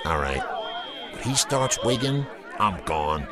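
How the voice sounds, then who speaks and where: An actor playing a nervous, gossiping high school boy in an audio drama, slangy and uneasy. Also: sing-song, slow pace. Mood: gossipy, wary. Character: wary gossiping male high school student, nervous sidekick. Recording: loud music and crowd behind.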